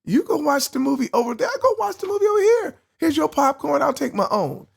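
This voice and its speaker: A man voicing a woman partner who promises cooking, cleaning and cuddles, in a high, sing-song manner. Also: very animated, fairly quick, vocal fry, full character voice. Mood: dismissive. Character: sweet-talking girlfriend who turns cold, woman.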